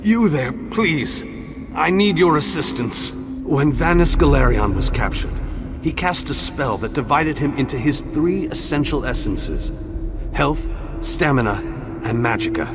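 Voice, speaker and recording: An actor playing a pompous, self-assured great wizard in a video game, speaking in a grand, formal, theatrical way. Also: male voice, fairly high pitch, lively intonation, a little slow, noisy background. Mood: urgent, imploring. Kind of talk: video game character acting. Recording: loud music behind the voice.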